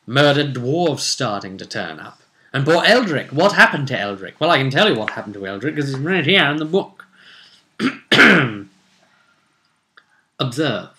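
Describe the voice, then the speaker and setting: A man's voice: mid-range voice, sharp tone. A man recapping a tabletop fantasy game as game master, telling it casually but dramatically with wry asides. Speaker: game master and series presenter.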